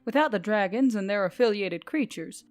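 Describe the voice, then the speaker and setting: A woman's voice: medium pitch, lively intonation, normal speed. A woman reading scripted fiction as an audiobook narrator. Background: music.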